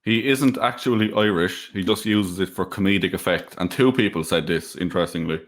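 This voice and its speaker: A man with a very low voice reads out listener questions in a relaxed, casual way. He adds dry, teasing comments between them. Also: a little fast. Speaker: co-host reading questions. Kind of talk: casual chat.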